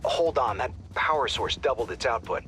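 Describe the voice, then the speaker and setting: A wry male mission handler from a video game,. His voice is bright and forward, and he talks the team through each mission in a casual, joking tone. Light music plays underneath. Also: mid-range voice, animated, moderate pace. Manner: wry, conversational.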